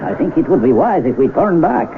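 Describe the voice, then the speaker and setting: Older, animated South American mountain guide. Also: male voice, on the high side, a little fast, background noise. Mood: cautioning. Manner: chuckling, worldly-wise, formal phrasing. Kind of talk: 1970s radio drama acting. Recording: tinny radio recording with music behind.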